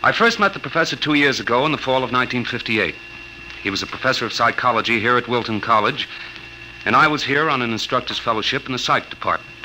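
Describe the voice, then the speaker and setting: Earnest young American instructor, sharp voice. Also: male voice, medium pitch, animated, fast, rough recording. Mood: narrating, matter-of-fact. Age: young adult. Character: earnest, polite young male psychology instructor. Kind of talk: old-time radio drama acting and narration. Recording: vintage radio recording with hiss.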